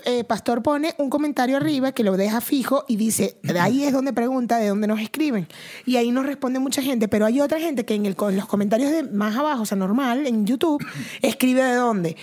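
A woman's voice: fairly high pitch, darkish tone. A Venezuelan woman co-hosting a vlog in casual, spontaneous chat, bantering and easily amused. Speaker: vlog co-host, publicist and actress.

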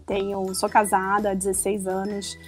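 A woman in her forties from Rio de Janeiro with a Carioca accent, a guest on a casual podcast, chatty and quick to laugh. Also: medium pitch, monotone, soft background music. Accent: Carioca Brazilian Portuguese. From Rio de Janeiro, Brazil. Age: 40s. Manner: chatty, laughing easily, many restarts.

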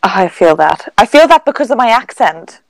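Young uni student from zambia, sounds british w a hint of african, animated, acts out convos, dry close sound. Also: female voice, mid-range voice, moderate pace, vocal fry, no echo. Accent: British-sounding, faint African trace. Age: young adult. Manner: animated storyteller, acts out quoted exchanges. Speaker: university student.

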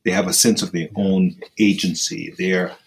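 A man's voice: deep voice, little pitch movement, creaky, low-quality recording. A man giving thoughtful, formally phrased answers as a surgeon guest in an interview. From Kenya. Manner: measured, formal, articulate phrasing.

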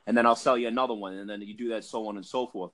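A man's voice: on the low side, steady tone, very fast, a bit bright. A man from Massachusetts with a Boston accent, telling an industry story in a casual podcast chat with lots of fillers and restarts. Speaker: cannabis industry guest.

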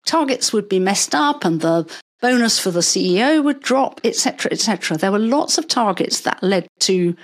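Older woman calmly explaining. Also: medium pitch. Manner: measured, explanatory, with anecdotes. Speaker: retired paediatrician guest. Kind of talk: conversational interview.

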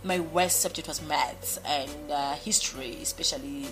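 A woman's voice: low-pitched, normal speed, low-quality recording. A young woman from Zimbabwe, raised in South Africa, a radio personality answering casual interview questions in a bubbly, rambling way with many fillers and restarts. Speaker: radio personality, podcast guest. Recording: music playing behind.

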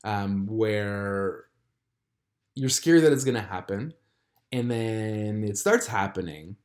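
A man in his thirties, born in Croatia, hosting a solo podcast and talking through a personal struggle in a reflective, halting way with many fillers and restarts. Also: on the low side, lively intonation, darkish tone. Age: 30s. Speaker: podcast host. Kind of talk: candid solo podcast confession.

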